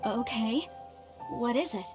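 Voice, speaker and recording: A woman's voice: a little high, slow, over music. A woman playing an earnest, proud young scholar in a video game, speaking in a formal, emphatic way. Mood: curious. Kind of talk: scripted video game character dialogue.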